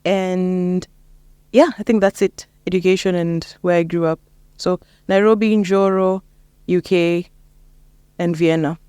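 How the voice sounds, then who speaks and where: A woman's voice: on the low side, steady tone, measured pace, warm tone, clean tone, no room sound, clean studio sound. A young woman with a Kenyan accent, chatting casually as an interview guest. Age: young adult. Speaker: interview guest, lawyer and mentor.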